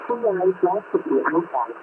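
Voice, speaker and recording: Narrowband shortwave transmission, faint static, male coast guard operator, formal scripted bulletin, measured delivery. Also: high-pitched, very animated. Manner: measured, formal, reading out digits one by one. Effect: shortwave radio transmission. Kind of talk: scripted marine ice bulletin read over radio. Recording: tinny narrowband shortwave radio with static noise.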